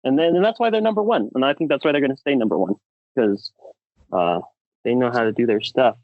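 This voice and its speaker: A man's voice: medium pitch, animated, brisk, dry recording, phone-quality sound. A man co-hosting a podcast in casual, enthusiastic banter, repeating words for emphasis. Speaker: podcast co-host.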